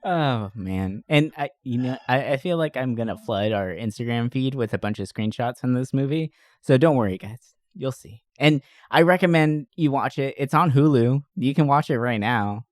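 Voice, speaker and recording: A man's voice: medium pitch, lively intonation, moderate pace, hardly any pauses, no echo, clean studio sound. A man hosting a podcast, chatting casually about films in a rambling, profanity-laden way. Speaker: podcast host. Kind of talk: casual movie discussion.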